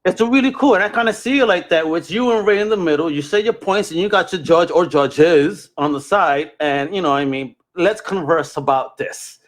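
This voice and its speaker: Expressive man with a higher-pitched voice. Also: normal speed, few pauses. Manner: expressive, informal, slangy. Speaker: podcast host. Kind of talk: casual chat.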